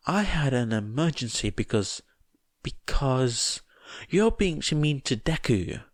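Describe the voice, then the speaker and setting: A man voicing a stubborn little girl, blunt and menacingly earnest, with halting, insistent speech in an audiobook. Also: mid-range voice, big pitch swings, no echo, clean studio sound, voice pushed up.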